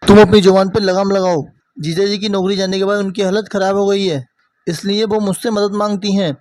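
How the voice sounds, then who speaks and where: A man's voice: sits high, flat delivery, fairly quick, a little mellow, dry recording, close to an everyday voice, low-quality recording. A man voicing a loyal, caring younger brother, earnest and emphatic, in a cartoon moral story. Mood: indignant.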